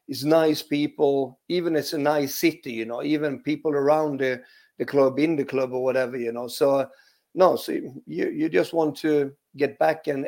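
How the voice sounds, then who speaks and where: A man with a Swedish accent, a former footballer, answering interview questions in a relaxed, halting way, full of restarts and "you know". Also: mid-range voice. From Sweden. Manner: hesitant, many restarts and "you know" fillers.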